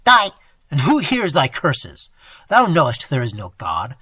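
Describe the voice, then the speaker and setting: A man's voice: on the high side, wildly swooping pitch, unhurried, bright, forceful tone, slightly rough, no room sound, exaggerated voice. A man voicing a bitter young man who speaks with cold, harsh scorn. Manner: harsh, sneering.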